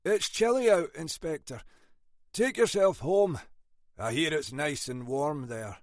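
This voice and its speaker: A man's voice: fairly high pitch, a little slow, dry recording, studio quality, pitched above the performer's own voice, close to an everyday voice. A man voicing a heavy, drunk, wheezing male gangster who taunts nervously. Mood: taunting.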